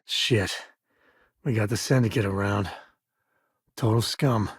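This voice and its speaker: A man playing a middle-aged space ranger in a video game, wry, folksy and good-hearted, with a husky, gravelly voice. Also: fairly low pitch, takes it slowly, no echo, clean studio sound, full character voice. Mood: serious, firm. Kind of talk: video game voice acting, conversational.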